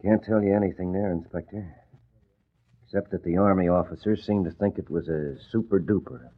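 An actor playing a breezy, slangy young newspaper reporter in an old-time radio drama. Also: male voice, low voice, brisk. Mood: sheepish, rueful. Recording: muffled old radio recording.

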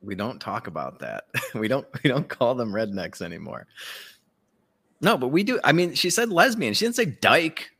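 A man with a medium-pitched voice talks as a podcast co-host. His style is loose, comedic banter. He is irreverent and swears freely, rambling through his points. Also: sing-song, moderate pace, creaky, a bit bright, husky.

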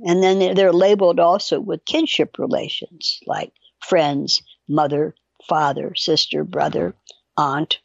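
A woman's voice: lowish voice, little pitch movement, measured pace, creaky, no room sound, dull audio. An older woman with a wry, digressive manner, casually explaining and reading aloud as a guest expert. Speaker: psychologist guest.

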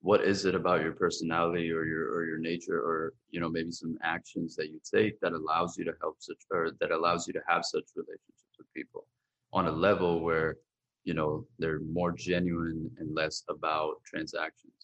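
Deep flat-sounding guy interviewing someone, kinda hesitant with his questions. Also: normal speed, rough recording. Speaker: podcast host. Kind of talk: interviewing a guest.

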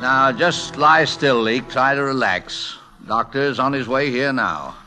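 An actor playing an eccentric, flustered gentleman painter in a 1940s radio play, brusque and prone to stammering. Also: medium pitch, slow, music in the background, close to an everyday voice. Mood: anxious, soothing. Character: eccentric, blustering gentleman painter, fond of his servant.

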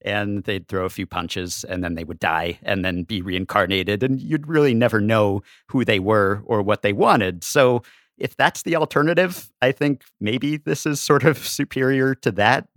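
A man talks as a podcast guest, giving casual commentary. His voice is on the low side, and the sound is dry and close. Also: fairly bright tone, dry recording.

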